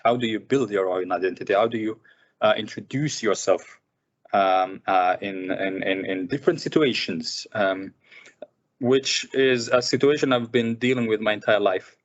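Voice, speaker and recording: A filmmaker who grew up in Sudan, speaking thoughtfully at a seminar with many fillers and repeated words. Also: male voice, fairly low pitch, moderate pace. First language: Arabic. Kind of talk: reflective personal talk. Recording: muffled lo-fi recording.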